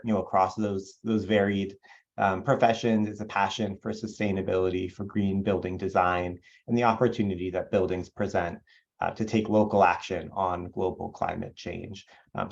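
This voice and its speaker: A man with a very deep, gravelly voice giving grateful opening remarks at a webinar. The audio sounds dull and lo-fi. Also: a little mellow. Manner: grateful, fillers. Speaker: nonprofit representative.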